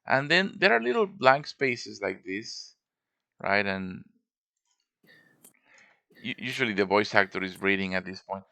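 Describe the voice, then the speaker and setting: A man's voice: fairly low pitch, animated, unhurried, gravelly, long pauses, pushed and bright. A man giving a casual show-and-tell tutorial as a game modder, informal and thinking aloud as he works.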